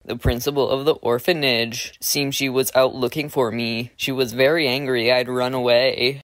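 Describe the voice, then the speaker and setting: A man's voice: mid-range voice, little pitch movement, normal speed, a bit bright, no room sound, lightly acted. A man voicing a self-important troll father in an amateur cartoon dub, telling his adventures in a pompous, theatrical way. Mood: pompous, nostalgic.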